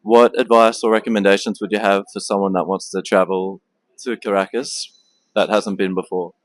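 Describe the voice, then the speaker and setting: A man's voice: on the low side, fairly even intonation, moderate pace, no room sound. A man conducting street interviews, asking short questions with some hesitant fillers. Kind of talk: street vox-pop interview.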